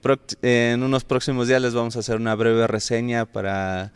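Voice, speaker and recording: A man's voice: mid-range voice, level tone, brisk, clean tone, dry recording. A man hosting a podcast interview in a friendly manner, with fillers and light laughs. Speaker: podcast host.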